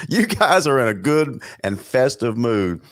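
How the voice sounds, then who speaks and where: A middle-aged man hosting a sports talk show, upbeat and jokey, his voice still a little hoarse after an illness. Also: medium pitch, fairly bright tone, dry recording, clean studio sound. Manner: upbeat, chatty, jokey, repeats words. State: recovering hoarse voice after bronchitis.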